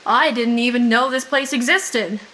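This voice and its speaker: Young female actor as a sharp, take-charge supernatural investigator; high, bright voice, deadpan teasing, comedy audio drama. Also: normal speed. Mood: firm, teasing. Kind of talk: audio drama acting, naturalistic comedic banter.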